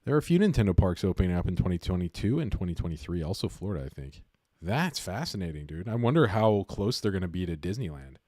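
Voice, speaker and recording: A man's voice: low-pitched, sing-song, fast, a little mellow, no echo, clean studio sound. A man hosting a casual livestream, talking informally and thinking aloud as he goes. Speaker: livestream host. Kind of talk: casual livestream chat about investing.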